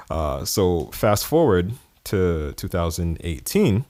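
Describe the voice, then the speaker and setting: A man's voice: low-pitched, very animated, very slow, mellow, no echo. A man from the East Coast of the United States hosting his podcast, talking in a loose, conversational way with fillers and chuckles. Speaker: podcast host. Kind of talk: casual podcast monologue.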